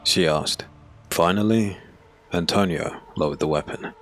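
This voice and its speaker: Deep-voiced man narrating dark fantasy. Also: unhurried, a little hiss or hum. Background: music.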